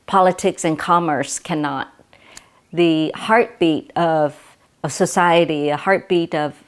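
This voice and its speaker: Middle-aged woman, low smooth voice, slow and thoughtful, lots of ums and restarts. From Vietnam. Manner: reflective, halting with many fillers and restarts. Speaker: interviewee. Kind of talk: oral history interview.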